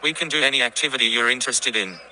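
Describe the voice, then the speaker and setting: Man as reassuring companion, very bright voice. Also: medium pitch, racing, slight background noise, poor audio. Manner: polite, reassuring. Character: loyal, calm male companion reassuring a demon. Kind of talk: amateur audio drama acting.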